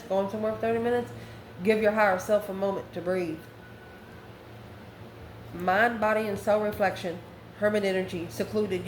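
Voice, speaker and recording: A woman giving a casual, spontaneous tarot reading, talking straight to the viewer in a conversational, exclamatory way. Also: medium pitch. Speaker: tarot card reader. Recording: echoey room with faint background noise.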